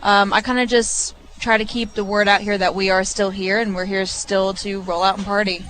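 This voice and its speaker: A woman's voice: mid-range voice, flat delivery, normal speed, a bit bright, faint music behind, poor audio. A woman in her twenties, from Arkansas, talking casually as a guest on a live radio broadcast from a restaurant bar. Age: 20s. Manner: easygoing, upbeat, lots of fillers. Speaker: guest, restaurant assistant manager. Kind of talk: casual on-air chat.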